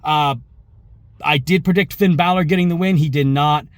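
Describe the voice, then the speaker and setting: Slightly hoarse, higher-pitched man giving casual commentary. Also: moderate pace, no room sound, studio quality. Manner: conversational and rambling, with asides and self-corrections. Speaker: wrestling reaction show host. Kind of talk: casual opinion commentary to viewers.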